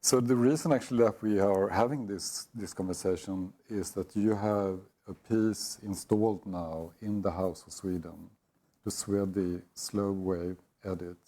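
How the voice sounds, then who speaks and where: A man moderating an interview as curator, asking brief, measured questions. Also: low-pitched, fairly even intonation, dark tone. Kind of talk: moderating a live-streamed interview.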